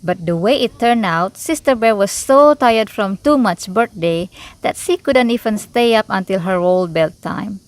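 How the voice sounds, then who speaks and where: Lady doing a kids read-aloud, takes it real slow, expressive, dry recording with no room sound. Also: medium pitch, no echo. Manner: expressive, unhurried storytelling. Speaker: storybook reader. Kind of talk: children's read-aloud narration with asides.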